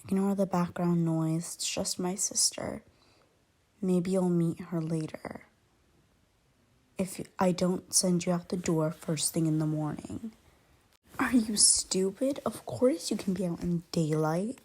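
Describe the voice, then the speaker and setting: A woman acting an irritable, aloof lone female werewolf in an ASMR roleplay, curt and scornful, her soft voice often slipping into whispers. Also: on the low side, steady tone, slow. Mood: exasperated, condescending. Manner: curt, scornful, exasperated sighing.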